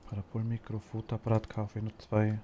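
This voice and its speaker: A man's voice: fairly low pitch, monotone, a little fast, warm tone, slight background noise. A man with a Bavarian accent chatting casually while streaming a game, rambling and murmuring to himself as he goes. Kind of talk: casual gaming chat.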